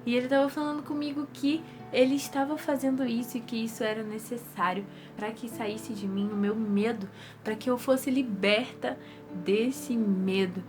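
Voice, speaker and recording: A woman's voice: on the high side, fairly even intonation, normal speed, over music. A young woman with a Brazilian Portuguese accent gives an earnest, casual devotional talk to her viewers, her nose stuffy from rhinitis. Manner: earnest, repetitive, conversational.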